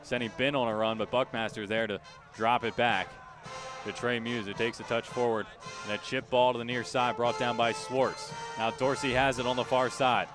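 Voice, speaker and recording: A man's voice: medium pitch, flat delivery, normal speed, over music. A male play-by-play announcer with a mid-range voice calls a soccer match live on radio. He follows the action in clipped, urgent phrases with emphatic delivery. Outdoor stadium sound sits faintly behind him.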